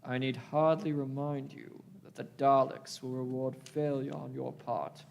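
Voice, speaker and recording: A man's voice: mid-range voice, little pitch movement, unhurried, gravelly, a little mellow, a little hiss or hum. A man playing a cold alien villain in a radio play, formal, commanding and threatening. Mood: cold menace. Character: cold, menacing alien villain serving the Daleks. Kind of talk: live radio-play acting.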